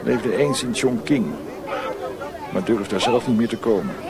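An actor playing a worldly, detached naval officer in a radio drama, clipped and matter-of-fact. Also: male voice, mid-range voice, measured pace, crowd noise, natural voice. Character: worldly, detached male naval officer who smokes opium. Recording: distant voice in busy outdoor scene.

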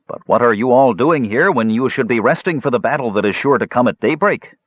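A man's voice: medium pitch, expressive, fairly quick, no echo, pitched above the performer's own voice, close to an everyday voice. A man acts out a stern, humorless half-elf in a fantasy audiobook. He is terse and protective, with serious delivery. The sound is slightly dull. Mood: curt. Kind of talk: audiobook character voice.

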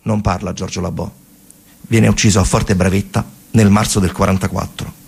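A man's voice: low voice, little pitch movement, normal speed, creaky, a little mellow, husky. A man performing a theatrical narrative monologue, telling the story emphatically in short, colloquial, repeated phrases. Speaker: stage actor and narrator.

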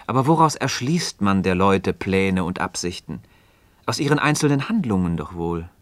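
Deep-voiced, patient learned mentor. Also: male voice, very animated, dry recording. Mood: guarded, ironic. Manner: measured, didactic, rhetorical. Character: learned male Freemason friend, patient, earnest, gently ironic teacher. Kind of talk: scripted philosophical dialogue in German radio drama.

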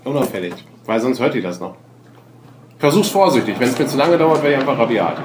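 A man's voice: medium pitch, very animated, a little fast. A man chatting casually as a player in a tabletop role-playing game. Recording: roomy, echoey room with faint noise.

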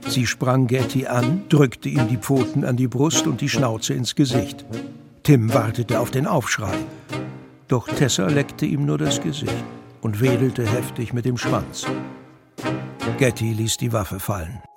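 A man's voice: mid-range voice, unhurried, creaky. A man narrating a scripted audio drama. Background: music.

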